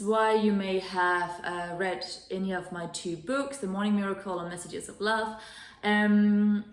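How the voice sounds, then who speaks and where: A woman's voice: medium pitch, fairly bright tone. A woman from Denmark, a wellness coach and host, telling her own story in an earnest, enthusiastic way with many fillers and restarts. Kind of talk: solo self-introduction on a sofa. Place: living room sofa. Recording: roomy echo.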